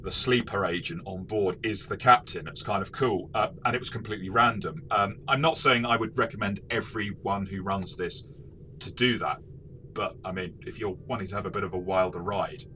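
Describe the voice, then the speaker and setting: A man's voice: lowish voice, moderate pace, pushed and bright. A man talking through a tabletop game review as a game master, in a casual, reflective, explanatory way. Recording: faint background noise.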